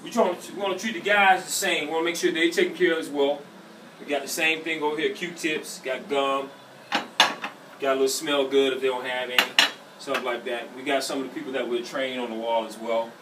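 A man's voice: medium pitch, fairly even intonation, a little fast, heavy background noise. A middle-aged man with a Southern US accent giving a casual, boastful walking tour of his gym. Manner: upbeat, boastful salesman patter. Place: indoor gym, echoey rooms. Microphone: handheld camera following him. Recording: echoey camera audio from a distance with music. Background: music playing in the gym.

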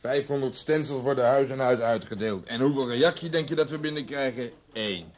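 Coarse, sardonic male cop for radio drama man acting, surly, average pitch. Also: a little fast, close to an everyday voice. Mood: sarcastic. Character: coarse, surly, sarcastic male police detective.

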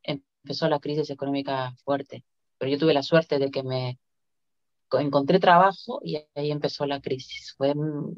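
A woman's voice: sits low, fairly even intonation, normal speed. Chatty Latin American woman, muffled call audio. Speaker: interview guest. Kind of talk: casual conversational interview. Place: indoor room, on a video call. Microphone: video call device microphone. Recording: dull, lo-fi video call audio.

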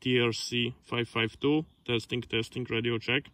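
A man making repetitive radio test calls in deliberate, clipped phrases. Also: medium pitch, fairly even intonation, fairly bright tone. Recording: faint noise, clicks, radio test audio.

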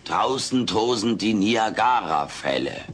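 A man's voice: on the low side, animated, takes it slowly, slight background noise. A man voicing a brash, wisecracking furry alien in a sitcom audio play, theatrical, pompous and cheeky. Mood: mock grand. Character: brash wisecracking furry male alien playing hotel host.

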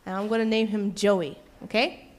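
A woman's voice: medium pitch, fairly even intonation, normal speed. A woman telling a children's story in a church, coaxing and checking in with the children. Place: small church sanctuary.

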